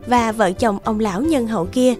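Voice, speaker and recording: A woman's voice: fairly high pitch, moderate pace, darkish tone, faint music behind. A woman narrator reading a scripted children's story.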